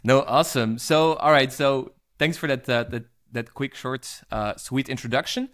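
A man hosting a podcast interview, asking his guest questions. He speaks at an ordinary middle pitch, phrasing things haltingly with plenty of fillers. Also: fairly bright tone.

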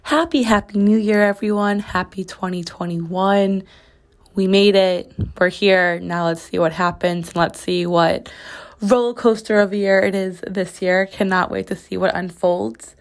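A young woman talks through her solo podcast in a loose, chatty ramble, peppered with "like." Her voice is slightly raspy as it recovers after being lost. She records from her bathroom at home. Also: medium pitch, fairly even intonation, measured pace. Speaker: podcast host. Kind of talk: casual solo podcast chat.

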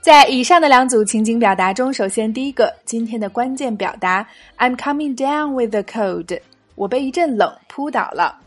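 A woman's voice: a little high, lively intonation, normal speed. Upbeat woman hosting a language lesson. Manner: clear, upbeat teaching delivery, repeating key phrases. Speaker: English teacher and show host. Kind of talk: scripted lesson narration. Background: faint background music.

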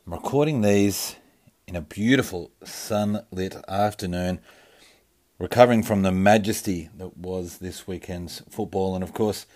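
A man's voice: sits low, darkish tone. A man hosting a sports podcast, with a jokey, rambling, mock-grand way of introducing. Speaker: podcast host. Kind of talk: casual comic podcast intro.

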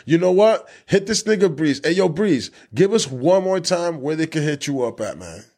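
A man in his thirties hosts a casual podcast chat, his voice riding high and swinging widely in pitch. He talks in loose, profane street slang, stumbling over restarts. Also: very animated, normal speed, darkish tone. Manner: loose, swearing street talk with many restarts. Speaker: podcast host.

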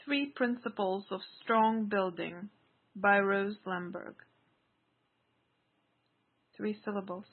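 A woman reading poetry aloud in an incantatory style. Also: medium pitch. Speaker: poetry reader. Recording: thin, phone-like audio.

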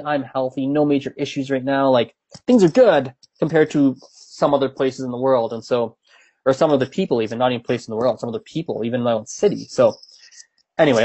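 A young man whose first language is Gujarati, answering viewer questions in a relaxed, rambling way at a mid-range pitch. He muses aloud and circles back on his own sentences. His voice comes through slightly dull, lo-fi stream audio. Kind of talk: casual livestream chat. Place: at home.

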